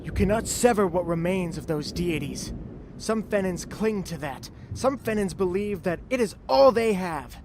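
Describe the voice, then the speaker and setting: A man's voice: sits high, moderate pace, slight background noise. A man playing an earnest, principled samurai lord in a fantasy audio drama. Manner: earnest, pleading, steady.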